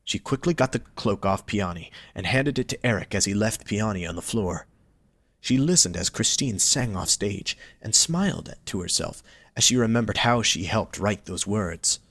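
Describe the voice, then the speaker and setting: A man narrates a scripted story for an audio drama. His voice is on the low side, and the sound is dry with very little room echo. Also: expressive, moderate pace, a bit bright.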